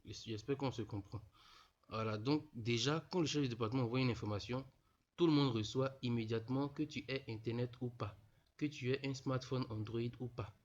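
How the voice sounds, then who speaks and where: A man's voice: mid-range voice, fairly even intonation, normal speed. A young man with a cold, walking students through an online registration step by step, repeating himself often. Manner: repetitive, peppered with "voilà", addresses students informally. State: stuffy from a cold. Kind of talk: casual explanatory screen tutorial. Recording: dull lo-fi voice-over.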